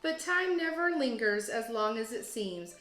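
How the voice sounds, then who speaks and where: A woman teacher reading a children's storybook aloud with expressive, deliberate storytelling phrasing. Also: on the high side. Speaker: teacher, guest read-aloud host. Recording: roomy echo, music behind. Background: storybook app music.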